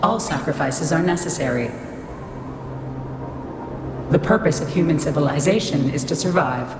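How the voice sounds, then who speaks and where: A woman's voice: low voice, expressive, normal speed, noisy background. A woman voicing a cold, impersonal computer system in a video game, speaking formally and with detachment. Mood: unyielding, cold. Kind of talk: scripted game voice acting. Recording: echoing hall reverb, music behind.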